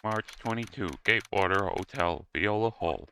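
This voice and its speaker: A man's voice: on the low side, normal speed, sharp tone, faint music behind. A man reading location captions as a scripted announcer in a video game dub. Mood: matter-of-fact.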